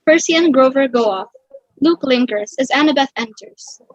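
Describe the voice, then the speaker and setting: A woman's voice: high-pitched, moderate pace, no room sound, muffled recording. A woman reading stage directions as scripted narration.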